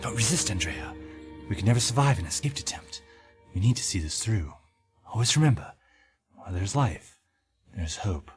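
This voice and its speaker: An actor playing an earnest, principled doctor and adventuring hero in an audio drama, explaining things carefully. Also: male voice, on the low side, animated, moderate pace, long pauses, close to an everyday voice. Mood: urgent pleading. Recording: loud music under the dialogue.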